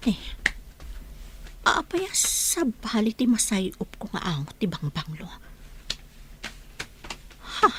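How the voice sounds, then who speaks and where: A woman's voice: mid-range voice, very animated, slow, long pauses, a bit bright, slightly rough, full character voice. A woman playing a wife and mother in a radio drama. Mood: puzzled, suspicious. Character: affectionate mother and wife growing suspicious.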